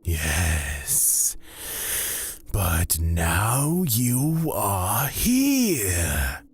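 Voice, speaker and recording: An actor playing a calm, menacing god of fear, speaking deliberately and formally in a husky, breathy voice in a fantasy audio drama. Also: male voice, deep voice, heavily acted. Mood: calm, ominous. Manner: deliberate, formal, drawn-out phrasing.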